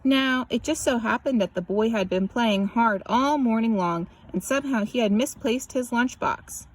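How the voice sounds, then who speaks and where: A woman reads a picture book aloud as scripted narration, animated and drawing out words for emphasis. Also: fairly high pitch, moderate pace, poor audio. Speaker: children's story reader.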